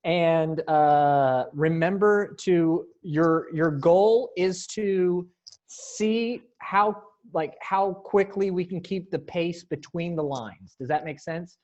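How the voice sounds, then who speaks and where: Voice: mid-range voice, slow, a little mellow, highs cut off. An upbeat, encouraging person casually coordinating a readers theater event over a video call.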